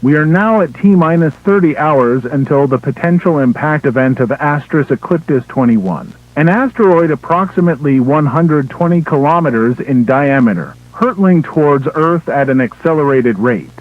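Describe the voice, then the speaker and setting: A man playing an official emergency broadcast announcer, reading a scripted alert in a formal, measured, impersonal way through a radio filter. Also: a little high, expressive. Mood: grave, urgent warning. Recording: tinny, band-limited emergency radio broadcast.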